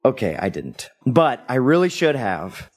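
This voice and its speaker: A man's voice: mid-range voice, very animated, a little slow. A man acting a loving, wistful and frightened husband in an audio play, speaking in a sentimental, hesitant way. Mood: tender, earnest. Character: recently deceased loving husband, tender, wistful, scared.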